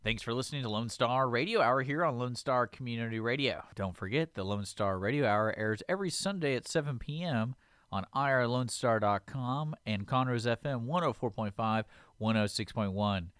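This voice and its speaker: A man's voice: medium pitch, moderate pace, a bit bright, clear, resonant tone, dry recording, clean studio sound. A man working as a radio station announcer, reading a scripted outro.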